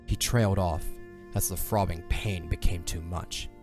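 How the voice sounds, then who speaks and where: A man narrating a fantasy audio drama in a solemn, measured, dramatic storytelling style. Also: low-pitched, fairly even intonation, over music.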